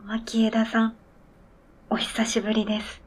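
A high-voiced, earnest snowmobile that has learned to talk , played by a woman. It speaks in formal, polite sentences with a matter-of-fact manner, as in radio drama dialogue. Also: monotone, unhurried. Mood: hesitant, subdued. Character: earnest, polite talking snowmobile with its own identity.

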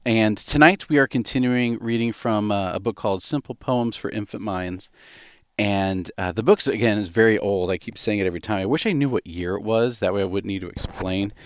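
Low-voiced man hosting a bedtime story show. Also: fairly quick, clean tone, no room sound, studio quality. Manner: gentle child-directed asides. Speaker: host reading stories to a baby. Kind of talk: casual bedtime story reading. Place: studio. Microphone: headset mic.

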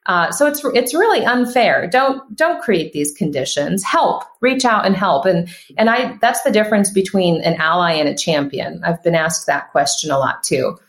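A woman's voice: on the low side, moderate pace, hardly any pauses. Emphatic woman in an echoey room. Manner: emphatic, animated, frequent restarts. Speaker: podcast guest. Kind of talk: casual conversational interview.

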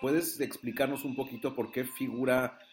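A man's voice: mid-range voice, fairly even intonation, moderate pace, soft background music. A man interviewing an author, asking long, formal questions with "eh" and "mm" fillers. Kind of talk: radio interview.

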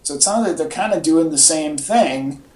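A man co-hosting a casual podcast chat, speaking in a halting, informal fan style. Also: a little high, a little mellow. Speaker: podcast co-host. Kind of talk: casual chat about comics and movies. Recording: echoey, roomy podcast audio.

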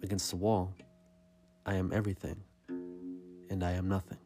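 A man giving a dramatic, scripted reading of poetry in a somber, measured and deliberate manner. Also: low voice, monotone, over music. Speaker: podcast host reading poetry.

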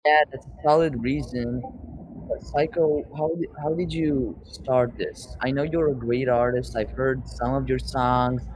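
A man's voice: medium pitch, steady tone, a little slow, darkish tone, poor audio. Young guy hosting a podcast, rambly and loose, bit of noise behind. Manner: rambling, loose, swears casually. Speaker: podcast host. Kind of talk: casual interview chat.

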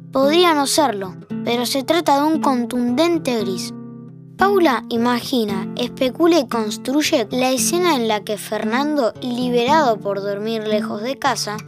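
A wry, all-knowing storyteller of an audio drama, played by a woman, speaks with an Argentine accent. Her voice sits fairly high. Plucked guitar music plays clearly behind the narration. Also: natural voice. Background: acoustic guitar music.

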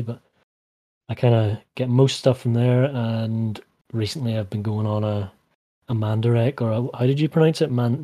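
A man's voice: medium pitch, mellow. A man chatting casually on a podcast, often stumbling and repeating words. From Northern Ireland. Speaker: podcast co-host. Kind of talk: casual chat.

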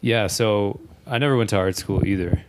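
A man's voice: on the low side, normal speed, background noise. A man in his mid thirties hosting a casual interview, easygoing and chatty with lots of "yeah" and "like". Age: 30s. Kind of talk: casual conversation. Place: indoor studio with mic arms.

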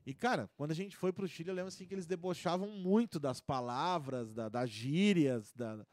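Podcast guest, man, rambling anecdotes, slightly high voice, dry sound. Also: normal speed, darkish tone, no echo. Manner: rambling storyteller with many restarts and fillers. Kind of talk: casual chat.

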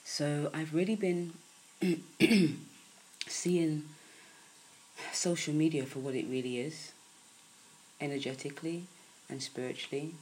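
A woman with a croaky early-morning voice giving a soft, unhurried spiritual podcast talk, with many pauses and fillers. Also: sits low, fairly even intonation, poor audio.

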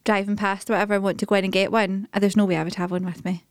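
Fast-talking woman joking. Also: medium pitch, dry recording, studio quality. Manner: quick, animated, joking. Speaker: podcast co-host. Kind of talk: casual chat.

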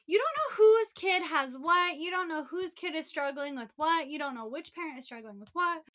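A young woman in her twenties with a bright, lively tone and a slightly high voice, co-hosting a relaxed chat. She tells personal stories candidly and rambles, with plenty of fillers along the way. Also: moderate pace. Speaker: podcast co-host. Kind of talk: casual chat.

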